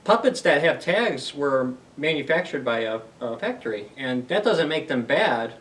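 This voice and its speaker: A man's voice: on the high side, animated, normal speed, a bit bright, far from the mic. A man hosting a story time for young children, reading aloud and explaining things simply with questions to the listeners.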